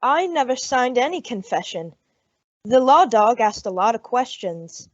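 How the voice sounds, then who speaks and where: Woman acting a high-voiced, timid outlaw. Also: very animated, measured pace. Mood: uneasy. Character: timid, pleading outlaw gang member named Slim. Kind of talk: old-time radio western acting. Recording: very noisy, dull lo-fi audio.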